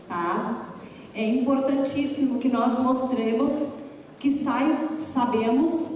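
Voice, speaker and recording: A woman's voice: sits high, darkish tone, recorded from a distance. A woman teacher with a southern Brazilian accent, lecturing a class directly and teasingly. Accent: southern Brazilian (Rio Grande do Sul). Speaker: essay-writing teacher. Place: large classroom. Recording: echoey hall recording from afar with faint hum.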